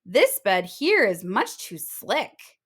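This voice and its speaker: A woman's voice: mid-range voice, sing-song, slow pace, fairly bright tone, slightly rough, heavily acted. A woman voicing a cheeky little girl who talks in a chirpy, breezy way.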